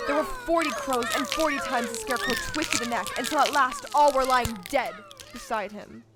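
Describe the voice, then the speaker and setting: A woman's voice: sits high, heavy background noise. A woman playing the storyteller of a fairy-tale audio play, narrating in a theatrical, scripted way. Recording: live stage show with loud music underneath.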